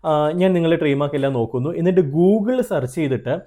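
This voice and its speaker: Male Malayali consultant-host, slightly high but soft-edged mellow voice, earnest explanatory delivery with English terms mixed in. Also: lively intonation, fast. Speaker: trademark consultant and video host. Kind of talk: explanatory advice straight to viewers.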